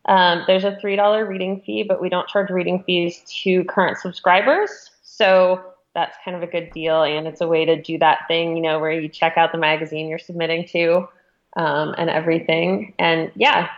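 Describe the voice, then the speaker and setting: A woman's voice: fairly low pitch, little pitch movement, normal speed. A woman speaking as an interviewed magazine editor, explaining things in an informal, conversational way with some hedging. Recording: dull, muffled lo-fi audio.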